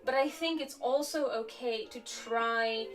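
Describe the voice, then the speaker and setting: A woman's voice: on the high side, a little slow. A young woman, a native speaker of Slovak who grew up in Germany, chatting casually and reflectively while crafting on a live stream, with a slightly sore throat. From Slovakia, raised in Germany. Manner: relaxed, reflective, chatty with frequent fillers. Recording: distant mic with faint music.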